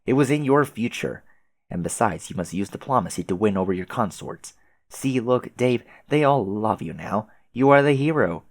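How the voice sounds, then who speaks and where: A man voicing a pushy, excitable female alien troll who taunts in wordy, emphatic phrases for a comic dub. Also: on the low side, moderate pace, no room sound. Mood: taunting. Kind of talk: fan comic dub dialogue.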